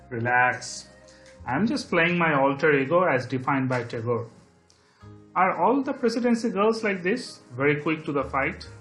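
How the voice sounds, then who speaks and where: A man's voice: fairly high pitch, sing-song, a little slow, a bit bright. An actor as a young Indian man in an audio drama. He is witty and bookish and talks with an Indian accent, with music playing behind him. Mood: mock indignant. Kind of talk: scripted audio drama dialogue.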